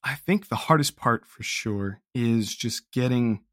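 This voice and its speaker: A man's voice: medium pitch, measured pace, a bit bright, no room sound. Earnest man in reflective interview, dry sound. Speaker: interview guest, audio drama writer. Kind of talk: reflective, casual interview talk.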